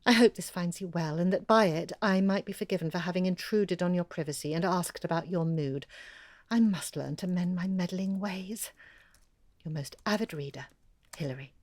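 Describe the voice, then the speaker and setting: A woman's voice: fairly low pitch, normal speed, a little mellow, no room sound, lightly acted. An actress playing a woman who reads out her own long email as a confiding storyteller in an audio drama. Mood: measured. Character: Hilary, a woman letter-writer recounting local history. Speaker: letter writer.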